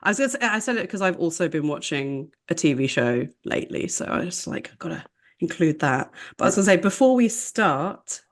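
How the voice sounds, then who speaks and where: A young woman hosting a casual podcast chat, talking in a flat, low-sounding way and laughing often. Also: a little fast. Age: young adult. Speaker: podcast host.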